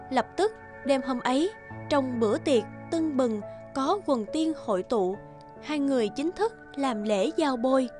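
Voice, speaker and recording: Female narrator, high-pitched voice, scripted folktale storytelling, faint music behind.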